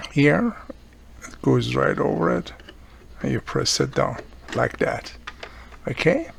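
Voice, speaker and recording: Non-native english guy doing a repair demo, unhurried, gravelly fry in his voice, bit of background noise. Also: medium pitch. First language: not English. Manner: step-by-step explaining with frequent check-in phrases. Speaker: radio repair hobbyist presenting a tutorial. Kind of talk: hands-on repair demonstration, unscripted narration.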